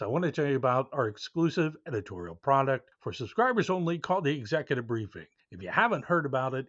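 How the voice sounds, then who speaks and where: A man's voice: mid-range voice, no echo. A man co-hosting a podcast, speaking informally and easygoing in both chat and promo reads. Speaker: podcast co-host. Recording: dry, slightly dull close recording.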